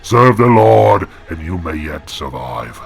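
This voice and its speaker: A man voicing a wrathful, commanding angel in an audio drama, with a metallic, inhuman, gravelly voice and solemn, archaic prophetic delivery. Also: low-pitched, sing-song, takes it slowly, full character voice. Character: wrathful, commanding male angel possessing a man's body. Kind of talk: creepypasta-style audio drama.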